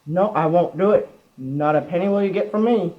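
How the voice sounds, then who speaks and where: Youngster as tight-fisted Mister boss, exasperated, male figure, mid-pitched voice, student radio drama, dull sound. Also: fairly quick, crowd noise, close to an everyday voice. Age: teenager. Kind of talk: amateur student radio play acting. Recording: muffled amateur recording.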